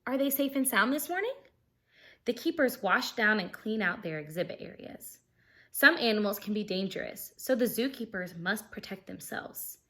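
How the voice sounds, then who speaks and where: A woman's voice: mid-range voice, lively intonation, moderate pace, a bit bright. A woman teacher reading a children's picture book aloud expressively, explaining things simply and putting questions to her young listeners.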